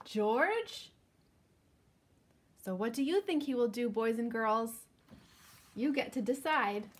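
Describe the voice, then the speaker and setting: A woman in her thirties giving an expressive picture-book read-aloud, speaking directly to young children. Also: fairly high pitch, slow pace. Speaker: children's librarian reading at story time. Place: indoors, living room at home.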